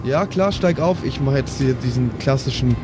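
A man improvises as a player in a tabletop audio play. His voice is medium in pitch, his banter casual and teasing, with music and outdoor ambience clearly behind him. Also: animated, moderate pace.

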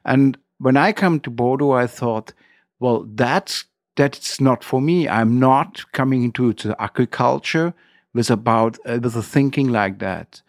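A middle-aged man with a German accent, telling his story as an interview guest in halting, non-native English phrasing. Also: mid-range voice, dry recording, studio quality.

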